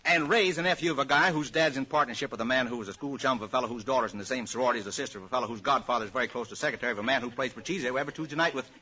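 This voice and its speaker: An actor playing a blustering, boastful wheeler-dealer, rambling and self-important, in an old radio comedy. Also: male voice, medium pitch, racing, hardly any pauses, bright, forceful tone, breathy edge. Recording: muffled old radio recording.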